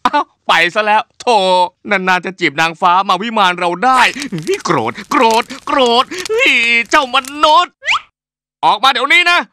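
A man's voice: very high voice, very animated, moderate pace, bright, forceful tone, exaggerated voice. A man voicing a hot-tempered, scheming and bumbling male deity in a cartoon, blustering and gloating with many exclamations. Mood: dismayed, then angry. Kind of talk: exaggerated cartoon dubbing. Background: cartoon music.

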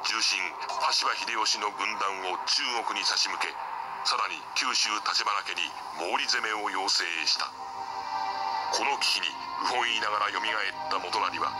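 An actor playing a solemn historical narrator in a video game, formal and dramatic. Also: male voice, on the low side, close to an everyday voice. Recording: narration under very loud music.

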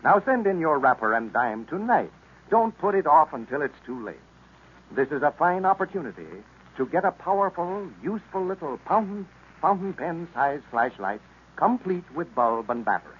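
An American man announcing in a scripted 1930s radio style, as for a sponsor pitch or story introduction. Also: big pitch swings, moderate pace, husky. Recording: muffled, noisy vintage radio recording.

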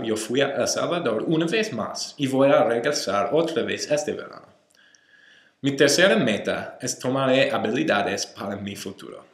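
A young university student, an English-speaking man, reads out a class presentation slowly and carefully, stopping now and then to fix a word. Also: mid-range voice, a bit bright. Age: young adult. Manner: slow, careful reading with self-corrections. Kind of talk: read-aloud class presentation. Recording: roomy echo.

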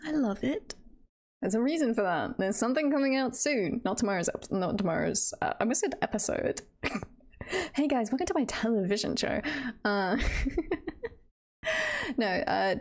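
A woman's voice: mid-range voice, creaky. A woman livestreamer chatting casually and hesitantly as she talks through tier lists. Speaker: streamer.

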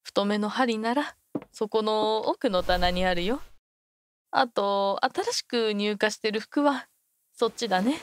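ボイスドラマで、世話好きで気さくな中年の女店主を演じる女性。. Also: female voice, mid-range voice, slow, lightly acted. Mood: warm, chatty. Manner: homely, auntie-like speech. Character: kindly, hearty middle-aged woman shopkeeper. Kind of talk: Japanese voice drama acting.

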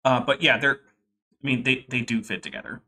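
A man's voice: medium pitch, a little fast, bright, forceful tone. A young man casually hosting a livestream from home, chatting wryly and reading viewer messages. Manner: chatty, wry, reading super chats aloud. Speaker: livestream host.

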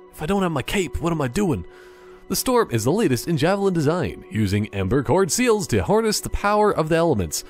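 A man with a fairly low voice commentates casually over a video game. He rambles in a playful, self-mocking way, with game music underneath. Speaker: gameplay commentator. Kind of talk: casual gameplay commentary, reading on-screen text aloud.

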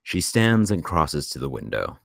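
A man's voice: extremely low pitch, very animated, a little slow, gravelly, a bit bright, no room sound, clean studio sound. A man reading screenplay stage directions aloud as scripted narration. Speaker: screenplay narrator at a table read.

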